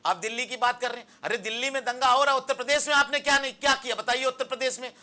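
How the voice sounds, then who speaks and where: A male political panelist in a heated televised debate. His voice is very high and piercingly sharp, and he is combative, hammering the same rhetorical questions over and over. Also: fairly even intonation, rapid-fire.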